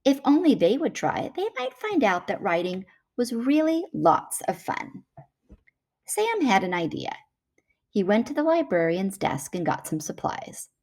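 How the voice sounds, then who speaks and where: Woman reading a storybook, lively pitch swings. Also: a little slow. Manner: animated storytelling. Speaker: children's book reader. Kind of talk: expressive picture book read-aloud.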